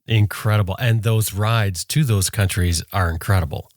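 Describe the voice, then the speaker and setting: A man's voice: lowish voice, moderate pace, no room sound, studio quality. A man hosting a podcast interview, asking questions and reacting with short enthusiastic remarks. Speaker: podcast host. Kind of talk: casual interview conversation.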